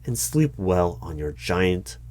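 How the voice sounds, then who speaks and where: A low-pitched male bureaucrat , played by a man, conducts an interview for a secret agency. He is officious and wordy, halting and apologetic, with frayed nerves under the formal procedure. It is staged as a one-sided ASMR roleplay. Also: big pitch swings, a little mellow, a little hiss or hum, heavily acted. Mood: strained politeness. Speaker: hiring manager, interviewer. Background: computer keyboard typing.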